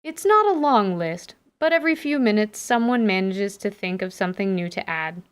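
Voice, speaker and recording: A woman's voice: mid-range voice, normal speed, clean tone. A woman reading scripted, descriptive narration for a fantasy audio drama as its narrator. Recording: steady rain and stream ambience behind.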